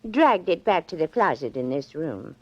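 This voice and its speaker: A woman's voice: low voice, big pitch swings, moderate pace. An actress playing a genteel, batty little old lady killer in a 1940s radio drama, polite and eerily matter-of-fact. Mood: matter-of-fact confession. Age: older. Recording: dull 1940s radio recording.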